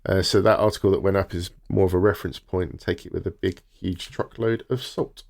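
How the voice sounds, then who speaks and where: A man's voice: deep voice, fairly even intonation, fairly quick, gravelly. A man co-hosting a podcast, informally reading out gaming news items to the others.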